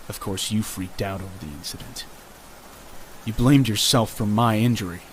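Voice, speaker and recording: A man's voice: fairly low pitch, normal speed, a little hiss or hum, close to an everyday voice. A man playing a bitter, earnest young former sidekick, delivering a reproachful dramatic monologue in a comic dub. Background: music.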